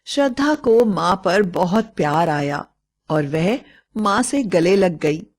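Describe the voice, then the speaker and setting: A woman reading a scripted bedtime moral story in a measured, expressive storytelling way. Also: lowish voice.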